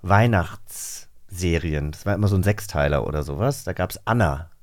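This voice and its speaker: A man in his early forties, a podcast host and actor, telling stories in a calm, reflective way with frequent "äh" fillers. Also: sits low, normal speed, dry recording, studio quality. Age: early 40s. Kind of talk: casual conversation.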